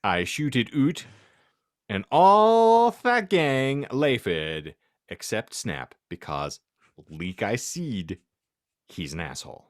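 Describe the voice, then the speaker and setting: Animated, slow theatrical male narrator. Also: medium pitch, no room sound, studio quality. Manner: theatrical, exaggerating misspelled words. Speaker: podcast host and narrator. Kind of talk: comedic dramatic reading of badly written fan fiction.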